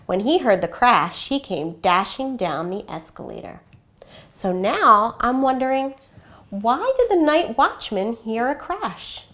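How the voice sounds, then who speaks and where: A woman teacher giving an expressive, instructive storybook read-aloud for children, pausing to pose questions. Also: a little high.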